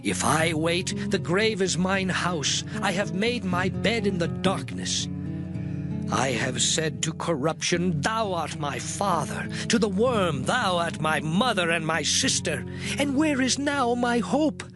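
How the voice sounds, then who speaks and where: A man's voice: high-pitched, expressive. An actor playing an anguished, suffering man lamenting in a dramatized Bible audio drama, declaiming mournfully in old King James English. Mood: despairing, mournful. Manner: declamatory, mournful, sweeping delivery with long pauses. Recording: music behind the voice. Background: dramatic music.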